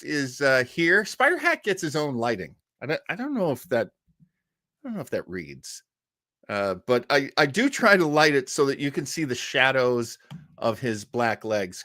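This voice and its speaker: A man's voice: fairly high pitch, dry recording, studio quality. A man hosting a live show in a casual, chatty, self-deprecating way, often wandering off on asides. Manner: animated, giggly, self-deprecating, digressive. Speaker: show host. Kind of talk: casual live-stream chat.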